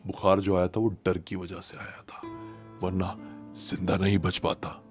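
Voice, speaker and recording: A man's voice: deep voice, completely flat delivery, a little slow. A man narrating a horror story in a conversational, suspenseful way, repeating phrases for emphasis. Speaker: horror storyteller, channel host. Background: music.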